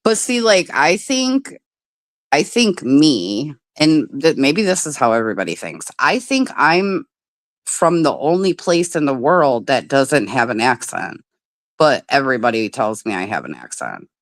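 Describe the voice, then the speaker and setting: A woman's voice: very deep voice, big pitch swings, measured pace, no room sound. A woman from the Midwest of the United States hosting a casual livestream chat, talking loosely, swearing freely and laughing often. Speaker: livestream host.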